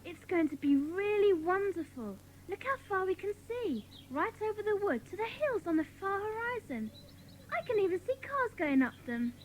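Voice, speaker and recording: A dreamy, excitable little schoolgirl in a children's audio drama. Her voice is high and swoops expressively, with faint noise behind it. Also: normal speed, poor audio. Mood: delighted. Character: bright, dreamy, enthusiastic young schoolgirl.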